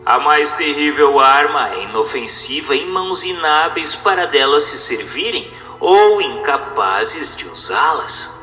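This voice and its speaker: A man with a Brazilian accent reads a religious text aloud as a narrator, in a formal, measured, didactic manner over music. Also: a little high, sing-song, pushed and bright. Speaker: narrator reading a book aloud. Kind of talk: scripted audiobook-style narration of a religious text.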